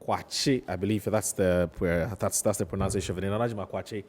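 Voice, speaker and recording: Deep, mellow Ghanaian male TV host. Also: fairly even intonation, fast. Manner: hesitant phrasing, repeats questions back. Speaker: TV talk show host. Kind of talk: live studio interview. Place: TV studio.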